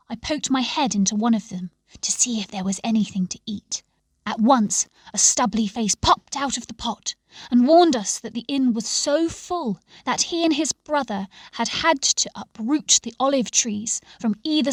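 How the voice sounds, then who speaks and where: A woman reading a scripted story aloud in a measured, expressive storytelling manner. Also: fairly high pitch, dry recording. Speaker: story reader.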